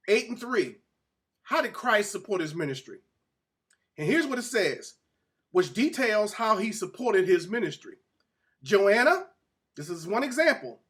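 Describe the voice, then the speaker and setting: A man with a notably high voice presents a religious teaching talk. He moves between reading excerpts and explaining them directly to his audience. His delivery is emphatic and didactic, like an author walking listeners through his own argument. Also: fairly bright tone.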